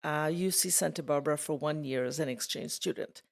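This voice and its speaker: A woman's voice: sits low, fairly quick, creaky. Older woman with a layered Slovak-Swedish accent. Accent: layered Slovak, Swedish and English. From Czechoslovakia. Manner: thoughtful, digressive, laughs easily. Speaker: interviewee, retired program editor. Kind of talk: casual reflective conversation.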